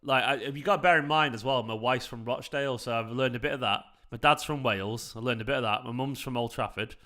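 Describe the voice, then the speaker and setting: Young South Manchester man, bright forward voice, rambling chatty fan-stream host. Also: mid-range voice, fast. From South Manchester, England. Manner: chatty, rambling, talks to viewers. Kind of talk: live football watchalong chat.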